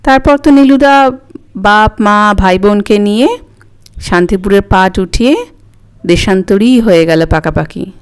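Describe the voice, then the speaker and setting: A woman's voice: mid-range voice, a little slow, long pauses, clear, resonant tone, natural voice. A woman voicing an elderly grandmother who is coy, wistful and fondly scolding in a dramatic story reading. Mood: reminiscing. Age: older. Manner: coy, reminiscing, mildly snappish. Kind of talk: dramatic audiobook character reading. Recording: music under the voice.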